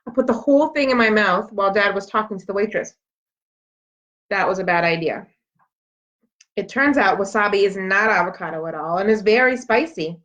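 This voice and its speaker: A woman reading a children's book aloud as an expressive storyteller. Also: mid-range voice. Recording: dull, lo-fi sound.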